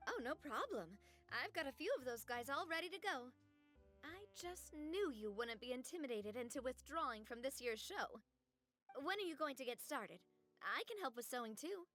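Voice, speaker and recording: A bold young female gang leader with a high, lively voice that swoops up and down. She is fiercely loyal and animated, with soft game music behind. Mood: confident. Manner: animated and protective, quick to anger, laughs it off. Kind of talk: game voice acting.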